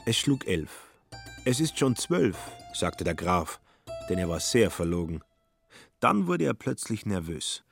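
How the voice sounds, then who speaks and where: A man narrating a literary radio play with a husky voice and a wry, dry storytelling manner. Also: sits low, very animated, a little slow, faint music behind, heavily acted.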